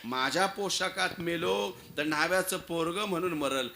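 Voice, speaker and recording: Guy as a loyal proud soldier talking to his king, fervent, highish sharp voice, history storytelling. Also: moderate pace, creaky, a little hiss or hum. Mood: reverent. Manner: impassioned, rhetorical, reverent address. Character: devoted, proud young soldier, willing to die for his king.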